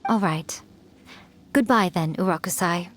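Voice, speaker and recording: A woman's voice: lowish voice, a little slow, a little hiss or hum, natural voice. A woman playing a sly, self-assured female fox spirit in a video game, with a playful, smug, teasing manner. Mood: reassuring, composed. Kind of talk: video game character acting.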